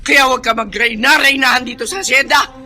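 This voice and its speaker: An actress playing an outspoken, vengeful older household woman in a Filipino radio drama, shouting scathing accusations. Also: sits high, steady tone, brisk.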